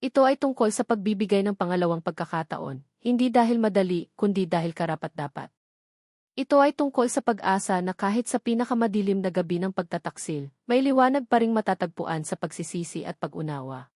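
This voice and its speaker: A woman's voice: mid-range voice, animated, brisk, a little mellow, no room sound, studio quality. A woman storyteller giving a scripted, dramatic narration of a romance story.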